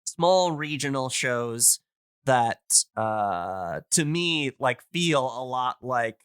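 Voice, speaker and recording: Man, podcast host, clear forward voice, dry close sound, rambling enthusiastic explainer. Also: mid-range voice, expressive, extremely slow, fairly bright tone, no echo. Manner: enthusiastic, rambling explainer who searches for words. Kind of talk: casual podcast chat.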